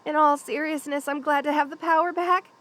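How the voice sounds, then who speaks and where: A woman's voice: high voice, steady tone, a little fast. A woman voicing a playful, affectionate young lamia best friend in a casual, teasing ASMR roleplay. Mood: cheerful, affectionate. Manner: casual, teasing, conversational with soft chuckles. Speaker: voice actor in audio roleplay. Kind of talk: ASMR roleplay, one-sided friendly chat.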